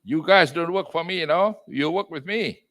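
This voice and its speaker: An older man with a Malaysian accent talking as an interview guest, loud and emphatic, dropping in Malay words and leaping to high exclamations. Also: normal speed. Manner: mixes in Malay words and phrases. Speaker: entrepreneur and executive chairman, interview guest. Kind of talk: interview over video call.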